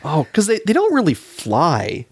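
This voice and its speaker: A man's voice: on the high side, huge pitch swings, a little slow, husky, no room sound. A man talking casually as a panelist in a discussion about films. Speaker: podcast panelist.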